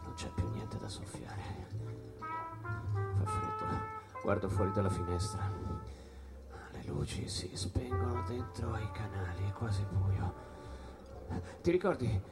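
A man's voice: on the low side, huge pitch swings, over loud music, heavily acted. Desolate man, voice swinging widely. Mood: shivering anguish. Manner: halting, anguished, talking to an absent companion. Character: lonely, freezing, abandoned jazz musician, bereft and confused. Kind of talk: theatrical monologue in an Italian radio play. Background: loud instrumental jazz.

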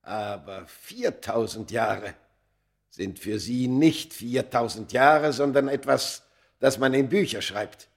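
Gravelly, tormented ancient cursed Egyptian man. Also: mid-range voice, slow. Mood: fervent, solemn. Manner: solemn, theatrical, swinging from hushed to fierce outbursts. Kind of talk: German radio play acting.

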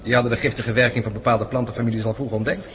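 A man's voice: mid-range voice, fairly even intonation, very fast, a bit bright, slight background noise, close to an everyday voice. A man acting in a radio drama as an affable hospital doctor, speaking in a matter-of-fact, clinical way. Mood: affable, informative. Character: Dr Sparks, brisk, affable male hospital doctor.

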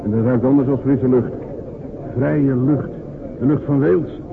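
A man's voice: mid-range voice, fairly even intonation, a little slow, gravelly. An actor playing a homesick male prisoner from Wales, who speaks in a wistful, plain way. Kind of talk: radio drama acting. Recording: phone-like radio recording with crowd behind.

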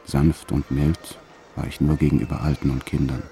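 A proud, dangerous male gangster recounting his life for a radio play. His voice is extremely deep and creaks with gravel. He speaks in a measured, sombre confessional manner, cold and hard, with faint music underneath. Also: little pitch movement, dark tone. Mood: detached, proud. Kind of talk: first-person radio play narration.

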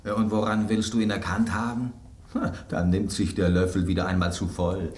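Guy doing skeptical scoffing bit of tableware, radio play, really deep voice, echoey. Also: little pitch movement, normal speed, a little mellow, lightly acted. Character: sardonic, skeptical talking piece of tableware. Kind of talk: German radio play acting.

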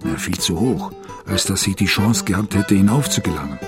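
A brooding, stubborn male hotel guest in a radio crime drama. His low voice moves in lively swings as he confides his story step by step. Guitar music plays behind him. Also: fairly quick. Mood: tense, recounting. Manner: confiding, recounting an ordeal step by step. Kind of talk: radio play dialogue and monologue.

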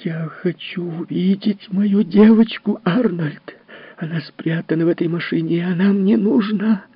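A man's voice: on the high side, animated, normal speed, creaky, breathy edge, dry recording, pitched above the performer's own voice, full character voice. A man voicing a middle-aged grieving mother who pleads and turns frantic. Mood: desperate. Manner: pleading, rising to shrieks. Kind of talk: audiobook reading. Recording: dull lo-fi recording.

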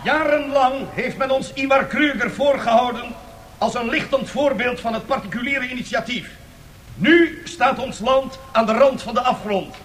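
A woman's voice: a little high, normal speed, a little hiss or hum, lightly acted. A woman playing a stern, righteous opposition politician, declaiming a formal accusing speech in a radio drama.

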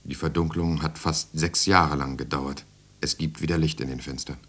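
An actor playing a grave man who survived the war, narrating his memories in a solemn, measured way for a radio drama. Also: very deep voice, steady tone, a bit bright, breathy edge, full character voice. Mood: solemn relief. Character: grave war survivor recalling bombed-out Germany.

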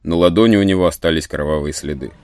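A man's voice: a bass voice, fast talker, darkish tone, smooth. A man narrating a story as a scripted dramatic audiobook. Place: studio.

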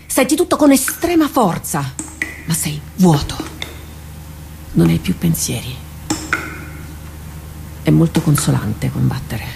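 A woman playing a tormented, haunted warrior captain in a radio drama, halting and brooding, repeating herself. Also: sits low, big pitch swings, gravelly, exaggerated voice. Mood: haunted, hushed. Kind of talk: theatrical radio drama acting. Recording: noisy radio drama recording with clicks.

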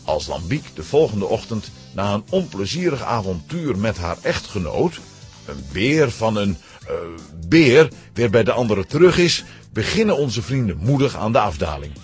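A man narrating a scripted children's adventure story in an expressive storytelling manner. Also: lowish voice, normal speed, husky, faint music behind.

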